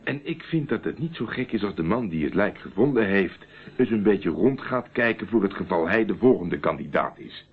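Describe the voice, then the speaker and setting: Stubborn amateur investigator, deep voice, curt dry replies, radio drama, muffled narrow-band recording. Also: male voice, expressive, normal speed, breathy edge. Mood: defiant. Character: defiant, evasive male amateur investigator under police questioning. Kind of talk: radio play acting.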